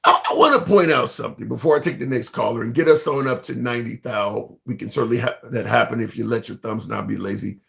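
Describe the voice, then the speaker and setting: A man's voice: medium pitch, quick pace, vocal fry, hardly any pauses, a bit bright. A man hosting a casual political call-in livestream, talking straight to viewers and callers in a rambling, emphatic way and swearing now and then. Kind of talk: casual call-in talk show.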